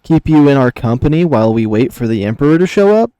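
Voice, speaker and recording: A man's voice: mid-range voice, lively intonation, quick pace, dark tone, smooth, dry recording, lightly acted. A man voicing a seductive, mocking lioness chieftain in a visual novel, with a teasing, courtly manner. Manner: teasing, coaxing, theatrically courtly. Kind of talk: visual novel character reading.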